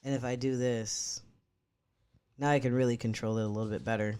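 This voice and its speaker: A man's voice: fairly even intonation, a little fast, a little mellow, clear, resonant tone. A young man talking casually to his live-stream chat, rambling and cursing freely as he fiddles with his setup. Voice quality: medium-pitched voice, neither deep nor high.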